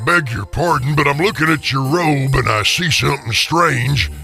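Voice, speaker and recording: A man playing an anxious, humbled old man with a croaky voice in an audio drama. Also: medium pitch, moderate pace, over music, close to an everyday voice. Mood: anxious wonder.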